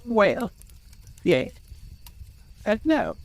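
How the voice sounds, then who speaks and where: A man's voice: high voice, very animated, unhurried, pitched above the performer's own voice. A man voicing a stubborn, suspicious hobbit in a storybook, with a stammering, defensive, insistent manner. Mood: suspicious, annoyed. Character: stubborn, suspicious hobbit clinging to his treasure.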